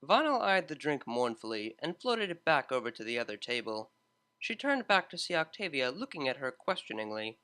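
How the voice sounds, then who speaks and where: A narrator reading a fan-fiction story aloud in a steady storytelling manner. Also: mid-range voice, moderate pace. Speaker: audiobook narrator.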